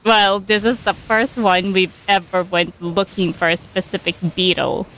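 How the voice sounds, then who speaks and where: A woman's voice: mid-range voice, flat delivery, a little slow, fairly bright tone, slight background noise, poor audio. A woman streaming a game, casually reading along and reacting aloud as she plays.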